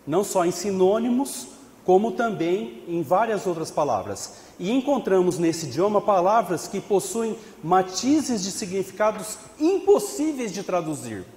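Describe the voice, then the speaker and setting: A man with a Brazilian Portuguese accent leads a religious study session, explaining scripture in a conversational way. His voice sits somewhat high for a man. He asks rhetorical questions and checks in with his listeners, and his words ring with the long reverb of an echoey hall. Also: big pitch swings, unhurried, darkish tone. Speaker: study group leader at a spiritist center.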